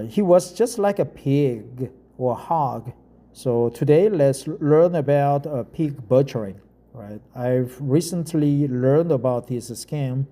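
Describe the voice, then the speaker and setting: A middle-aged Korean man with a Korean accent, a non-native English speaker talking casually and reading an article aloud, halting with frequent fillers and restarts. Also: medium pitch, warm tone.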